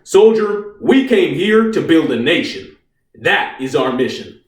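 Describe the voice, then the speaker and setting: A man's voice: fairly high pitch, very animated, unhurried. A man playing a stern, patronizing army officer in a stage drama, speaking with clipped authority. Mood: stern, official. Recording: echoey room, distant microphone.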